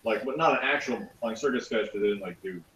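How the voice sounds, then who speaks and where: A man's voice: lowish voice, fast, fairly bright tone. A man chatting casually as a guest panelist on a review show. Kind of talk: casual chat. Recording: roomy echo with background noise.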